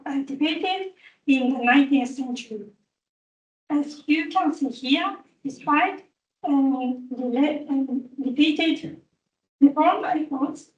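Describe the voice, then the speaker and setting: A woman presents at an academic conference in a high voice, taking her time and pausing on fillers as she works through each point. She sounds far from the mic, with the echo of a conference room around her and a dull, muffled tone. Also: darkish tone. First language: Japanese. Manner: careful, halting, with frequent fillers. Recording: roomy, distant, dull recording.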